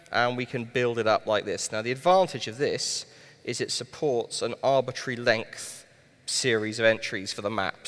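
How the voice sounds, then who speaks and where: A man's voice: medium pitch, a bit bright. A man from Wales in his thirties, giving a live-coded tech talk on a conference stage with a headset mic, explaining with dry asides. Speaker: conference speaker live-coding. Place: large conference hall stage. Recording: long hall reverb.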